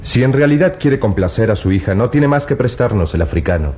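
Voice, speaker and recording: A man's voice: lowish voice, animated, brisk, a little mellow, highs cut off, slight background noise, close to an everyday voice. An actor playing a bold, self-assured young male adventurer.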